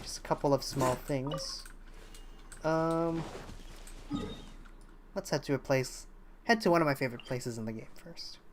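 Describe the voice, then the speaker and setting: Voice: medium pitch, measured pace, pauses often, a little hiss or hum. A game streamer chatting casually on a livestream, hesitant and rambling while thinking aloud. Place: indoors at a streaming setup.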